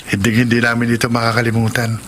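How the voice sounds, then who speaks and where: A man's voice: mid-range voice, monotone, quick pace, background noise, close to an everyday voice. A man playing a patient, grateful young husband in a Tagalog radio drama, with a reassuring conversational delivery. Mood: warm, grateful.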